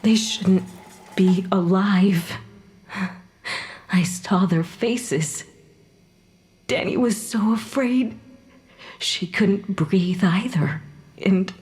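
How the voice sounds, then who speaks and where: An actress playing the wounded, bitter ghost of a dead mother in an audio drama, speaking haltingly and with emotion. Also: lowish voice, darkish tone. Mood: distraught, disbelieving. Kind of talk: naturalistic audio drama acting.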